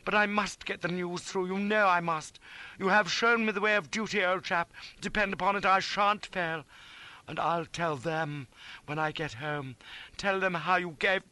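A male reader puts on a noble officer in an adventure audiobook. The voice is high and bright, breathless with fervour. He speaks with pious, sentimental devotion to duty. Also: fairly even intonation, normal speed, low-quality recording. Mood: fervent, noble. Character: earnest, noble young British officer, dutiful and sentimental.

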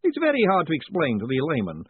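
A man voicing a cheerful, fussy male wizard professor who sounds high, bright and chatty. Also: wildly swooping pitch, fast, breathy edge, no room sound, full character voice. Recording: tinny phone-like audio.

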